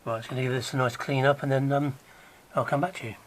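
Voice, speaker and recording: A man with a croaky voice gives a casual, hands-on how-to while working on an engine in a garage, chatting along and dropping to a mutter as he works. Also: mid-range voice, steady tone, quick pace, a little hiss or hum, rough recording. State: croaky, recovering from illness. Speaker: DIY car repair vlogger.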